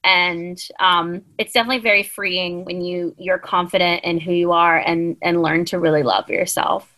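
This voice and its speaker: A woman's voice: fairly low pitch, fairly even intonation, normal speed, sharp tone. A woman in her late twenties co-hosting a casual chat, giving earnest, rambling advice with many ums. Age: 20s. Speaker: podcast co-host.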